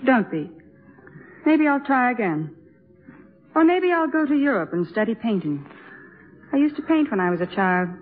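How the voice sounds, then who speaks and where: An actress playing a bitter, brittle young wife in a 1950s radio drama. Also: female voice, fairly high pitch, sing-song, moderate pace, pauses often. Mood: brittle, airy. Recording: muffled vintage radio broadcast.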